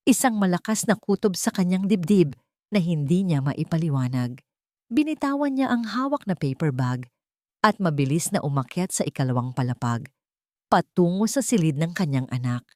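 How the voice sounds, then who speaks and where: A woman's voice: fairly low pitch, mellow, no room sound, studio quality. A woman storyteller reading a scripted, dramatic tale as narrator.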